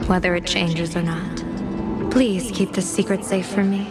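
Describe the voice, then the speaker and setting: A woman's voice: medium pitch, fairly even intonation, measured pace, close to an everyday voice. An actress voicing a playful, mysterious woman general in a video game, teasing and coy, with music behind her. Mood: coy, earnest. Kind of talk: scripted video game dialogue.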